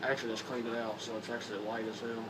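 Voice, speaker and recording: Hoarse Kentucky man rambling, flat voice. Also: mid-range voice. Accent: Kentucky Southern. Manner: rambling, halting with repeats and fillers. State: slightly hoarse from a cold. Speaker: vlogger. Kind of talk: casual talk to camera. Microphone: phone or camera mic. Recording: distant camera mic with background noise.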